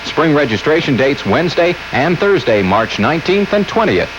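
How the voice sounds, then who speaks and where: Lively male ad announcer on noisy radio. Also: on the high side, moderate pace. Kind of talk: scripted 1980s radio ad read. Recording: dull, noisy old radio broadcast.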